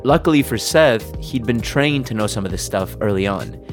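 A man's voice: fairly low pitch, animated, normal speed, soft background music. A man narrating a podcast story in a wry, upbeat way.

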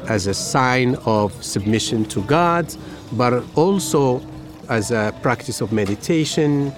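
A man in his fifties with a Moroccan accent, a native Arabic speaker, talking casually and playfully as he serves food. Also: mid-range voice. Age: 50s. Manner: energetic, joking, with playful asides. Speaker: chef and restaurant host being interviewed. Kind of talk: casual storytelling while serving food.

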